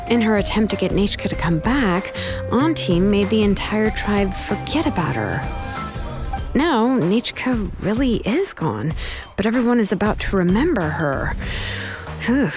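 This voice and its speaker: A woman's voice: mid-range voice, very animated, measured pace, music in the background. A woman voicing a laid-back, capable young craftswoman in a video game, casual and a little put-upon. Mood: relieved, thoughtful. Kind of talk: scripted game story dialogue.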